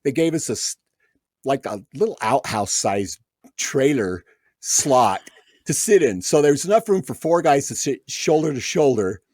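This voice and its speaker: A man's voice: medium pitch, normal speed, no echo, studio quality. A man telling stories as a guest musician on a podcast, acting out the people he quotes. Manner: animated anecdote teller, acts out quoted voices. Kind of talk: casual storytelling.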